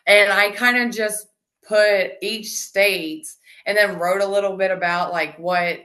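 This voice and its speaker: A woman hosting an interview podcast, chatty and animated, saying "like" a lot and laughing often. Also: mid-range voice, unhurried. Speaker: podcast host. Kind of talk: casual interview chat.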